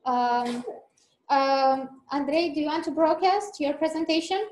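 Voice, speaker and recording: A woman's voice: sits high, measured pace, darkish tone. Young Romanian woman, hesitant, echoey room. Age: young adult. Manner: hesitant, many fillers. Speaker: student club organizer. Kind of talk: hosting a video meeting. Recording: echoey room, faint noise.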